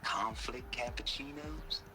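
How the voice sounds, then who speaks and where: An actor playing a cocky, folksy Texan hacker outlaw with a wry drawl in a video game cutscene. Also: male voice, medium pitch, takes it slowly, noisy background, natural voice. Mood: wry, relaxed. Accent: American South, Texan.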